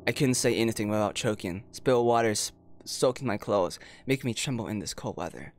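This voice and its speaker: A man's voice: on the low side, brisk. A man doing casual playthrough commentary on a visual novel, reading it aloud and reacting informally with amused disbelief. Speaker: streamer. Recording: music playing behind the voice.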